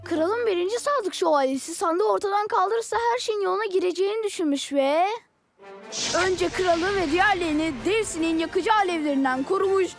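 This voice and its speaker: A woman voicing an excitable, cheeky little cartoon kid with a dramatic, eager delivery. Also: very high voice, fairly quick, creaky, music in the background. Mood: dramatic storytelling. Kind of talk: children's cartoon dubbing.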